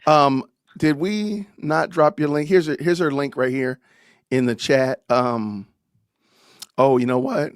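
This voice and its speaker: A man hosts a casual live-stream podcast. His voice sits a bit high and dry, recorded close with no room sound. He builds into animated, preachy rants with plenty of emphasis. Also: takes it slowly, smooth, no echo. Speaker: podcast host.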